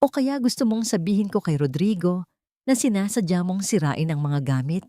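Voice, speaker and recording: A woman's voice: lowish voice, lively intonation, normal speed, no room sound, clean studio sound, natural voice. A woman voicing a cruel, scheming daughter-in-law in an audio drama, needling and falsely sweet. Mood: sharp, menacing. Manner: sharp, needling, feigning concern.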